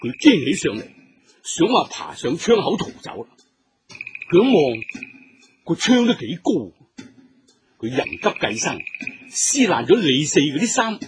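A man's voice: a little high, very animated, unhurried, pauses often, a little hiss or hum. A man narrating a story in Cantonese as a storyteller, with dramatic, suspenseful delivery.

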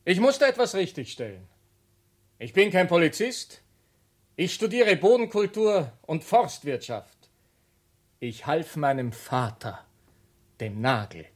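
A man's voice: fairly high pitch, big pitch swings, slow, pauses often, heavily acted. A young man playing a clever, self-assured student in a satirical radio drama, with theatrical delivery. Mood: calmly smug. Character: clever young male student, calmly cocky schemer.

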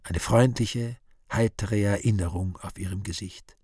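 A man's voice: fairly low pitch, a little slow, darkish tone, slightly rough, no echo, studio quality. A man reading scripted stage directions in a radio play, in a subdued, matter-of-fact way.

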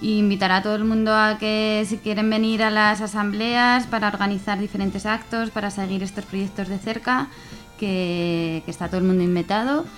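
Woman being interviewed, casual, feels for words, pretty flat melody, faint music. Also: medium pitch, normal speed. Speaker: guest, activist.

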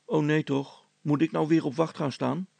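A man's voice: fairly high pitch, fairly even intonation, moderate pace, creaky, darkish tone, no echo. A man voicing a worried, doubting male helper in a storybook, anxious and plain-spoken. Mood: worried, doubtful.

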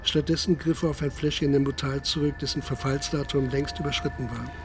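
A man narrating a literary radio drama in a sober, measured manner, with music behind him. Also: mid-range voice, steady tone.

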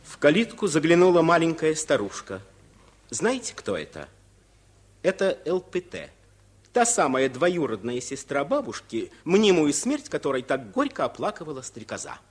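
A man's voice: fairly high pitch, very animated, normal speed, husky. A man narrating a radio play from a script, setting the scenes.

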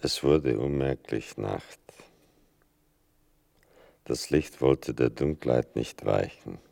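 An actor playing a grave, brooding narrator in a radio play, reading scripted literary narration. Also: male voice, extremely low pitch, takes it slowly, vocal fry, warm tone, full character voice. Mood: sombre.

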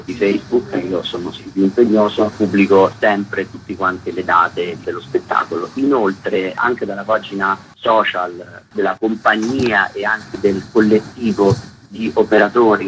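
A man's voice: fairly low pitch, a bit bright, a little hiss or hum, poor audio. A man being interviewed on radio as a theatre author, explaining his work in an articulate way.

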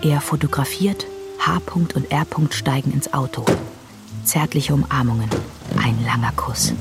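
A woman narrating scripted screenplay-style scene directions in a measured, descriptive way. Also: very deep voice. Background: music.

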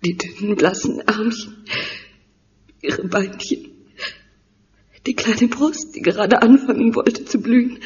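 A woman's voice: fairly high pitch, slow pace, long pauses, darkish tone. A woman playing an anguished, crying mother mourning her daughter in a German audio drama. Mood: broken, mournful. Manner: anguished, pleading, dramatic delivery. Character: grieving mother identifying her murdered teenage daughter. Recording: dull sound with long reverb.

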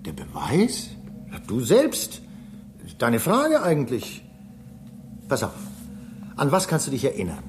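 Lively offhand space engineer. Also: male voice, mid-range voice, slow, pauses often, noisy background. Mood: patronizing explanation. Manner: casual, offhand, mildly condescending. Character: offhand, jaded male space station engineer. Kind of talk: German radio play acting.

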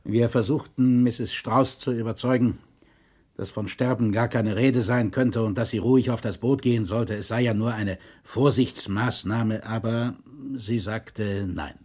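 A man playing a colonel and historian, a shaken shipwreck survivor giving measured, formal testimony in a documentary radio drama. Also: fairly low pitch, fairly even intonation, darkish tone, husky. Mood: sober, measured.

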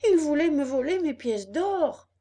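A woman voicing an eager, naive young wooden puppet boy in a storybook, excitable and earnest. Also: sits high, a little slow, pitched above the performer's own voice. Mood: excited.

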